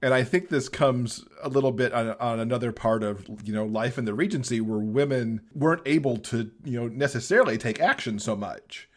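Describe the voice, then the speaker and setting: A man's voice: mid-range voice, a little fast, few pauses. A man speaking as a podcast guest, casually giving his thoughts on a book.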